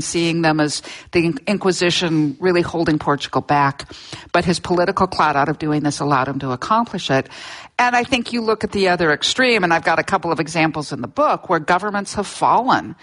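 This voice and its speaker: An older woman from Southern California speaks as an interview guest, her voice sitting somewhat low. She tells history in a plain, explanatory way, often restarting words mid-phrase. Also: brisk, fairly bright tone, poor audio. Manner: explanatory, with frequent restarts and fillers. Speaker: seismologist guest discussing her book. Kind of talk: relaxed interview storytelling.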